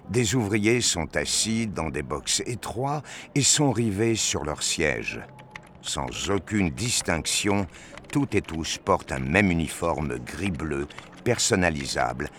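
A man's voice: sits low, fairly bright tone, slight background noise. A man reading scripted narration for a science fiction audio drama.

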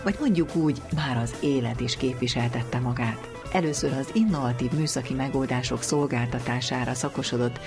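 A man's voice: medium pitch, creaky, hardly any pauses. A man narrating scripted introductions as a programme presenter. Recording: guitar music under the voice. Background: guitar music.

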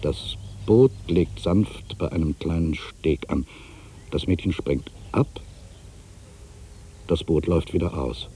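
An actor playing an aging, weary writer in a literary monologue, brooding and wry, with a gravelly voice and deliberate, weighted pauses. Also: male voice, sits low, dark tone, slight background noise. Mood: watchful, musing. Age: older.

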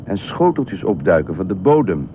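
A man's voice: sits low, sing-song, normal speed. An actor in a Dutch radio play as a wary, matter-of-fact man, terse and questioning with an odd companion. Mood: cooperative, unsure. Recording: muffled narrow-band old radio recording, noisy ambience.

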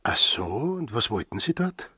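An actor playing a brooding, sardonic, defiant sailor in a radio drama, speaking tersely in a husky, gravelly voice. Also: male voice, mid-range voice, sing-song, unhurried, pushed and bright, no room sound, studio quality, exaggerated voice. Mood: tense.

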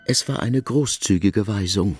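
A man narrating a literary radio drama, with expressive and carefully articulated storytelling. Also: fairly low pitch, measured pace, heavy background noise.

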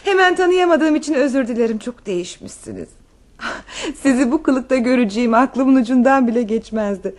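An actress playing a gracious, emotional countess with courteous phrasing and dramatic pauses in a radio drama. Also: female voice, high voice, lively intonation. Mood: amazed, delighted.

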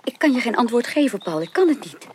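A woman's voice: a little high, very animated, quick pace, darkish tone, slight background noise. An actress playing a proud, candid young upper-class woman in a radio drama, speaking formally and firmly. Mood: firm, earnest. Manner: formal, firm, reproachful. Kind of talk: 1980s Dutch radio drama acting.

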